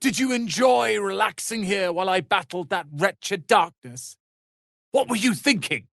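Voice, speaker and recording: A man's voice: sits high, expressive, a little slow, creaky, fairly bright tone, dry recording, exaggerated voice. A proud young wizard's apprentice for a fantasy game. He speaks in a pompous, cutting, theatrical way, with very close, dry studio sound. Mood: anguished anger. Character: haughty, ambitious young male wizard's apprentice, proud and sardonic. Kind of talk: dramatic video game voice acting.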